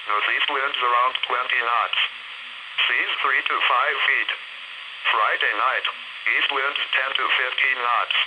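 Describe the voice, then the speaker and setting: A synthetic male voice reading a scripted automated weather bulletin as a radio announcer, in a flat, segmented delivery. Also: mid-range voice. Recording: very noisy weather radio broadcast.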